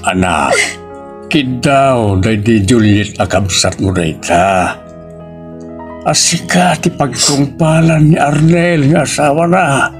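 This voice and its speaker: A man's voice: mid-range voice, takes it slowly, close to an everyday voice. A man acting in an Ilocano audio drama, playing an earnest, emotional family man with an Ilocano accent. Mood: concerned. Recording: music bed under the voice.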